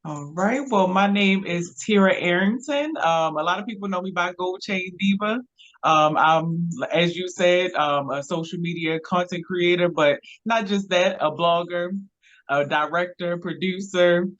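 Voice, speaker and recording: A woman's voice: lowish voice, measured pace, fairly bright tone, lo-fi sound. A woman in her thirties with a Baltimore accent, a guest on a podcast, talking in an easygoing, chatty way. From Baltimore, Maryland. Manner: easygoing, chatty, laughs often. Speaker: guest, content creator and filmmaker. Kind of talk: casual interview chat.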